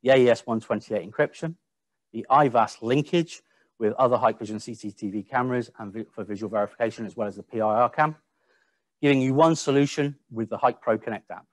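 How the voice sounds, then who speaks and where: Man, mid-pitched voice, dry sound, product presenter, webinar delivery, slightly halting. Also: no echo.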